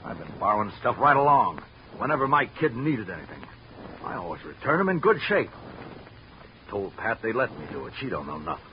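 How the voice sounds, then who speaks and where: A man's voice: on the low side, sing-song, normal speed. An actor playing a defensive, middle-aged working-class watchman with plain, ungrammatical speech in an old radio detective drama. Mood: bitter, earnest. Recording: dull vintage radio recording, faint hiss.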